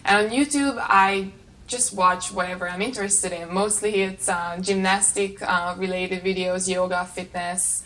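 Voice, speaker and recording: A woman's voice: medium pitch, steady tone, a little slow, sharp tone. A woman in her late twenties from Hungary with a Hungarian accent, talking casually to camera as a vlogger. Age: late 20s. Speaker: vlogger, channel host. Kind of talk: casual talk to camera answering tag questions. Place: small carpeted bedroom at home.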